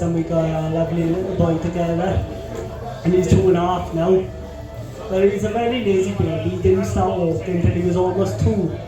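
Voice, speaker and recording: A young man with a fairly high voice tells crude stories with deadpan timing. His voice booms around a very echoey hall, and music plays clearly behind him. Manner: crude, deadpan punchlines with profanity. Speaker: stand-up comedian. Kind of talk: stand-up comedy set. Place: comedy club stage.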